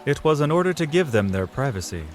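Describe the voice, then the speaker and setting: A man's voice: medium pitch, big pitch swings, fast, darkish tone, faint music behind. A man reading scripted narration for a fantasy audio drama, in a storyteller's style.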